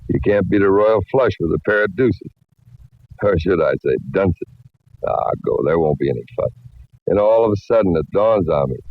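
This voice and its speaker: A man's voice: deep voice, no echo. A man playing a laconic, coolly resigned cowboy killer in a 1940s radio drama, folksy and wry. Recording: dull vintage radio recording.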